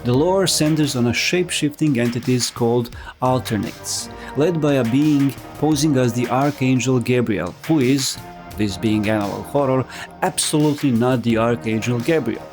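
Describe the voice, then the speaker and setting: A man's voice: medium pitch, normal speed, darkish tone. A man narrating a scripted explainer as a video essayist, with wry, conversational asides. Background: music.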